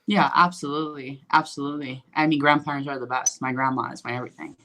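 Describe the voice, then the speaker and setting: A woman's voice: very deep voice, steady tone, fast, pushed and bright, poor audio. A young woman from Sweden with a light Swedish accent, chatting loosely as an interview guest and telling stories with lots of fillers. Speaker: guest bodybuilder. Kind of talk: casual interview chat.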